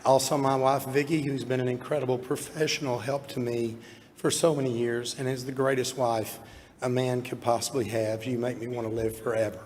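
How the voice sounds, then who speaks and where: A man in his sixties with a hoarse voice from illness, giving a heartfelt, self-deprecating award acceptance speech from a podium. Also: mid-range voice, flat delivery, fairly quick. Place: large banquet hall at an awards event. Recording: long hall reverb, faint room noise.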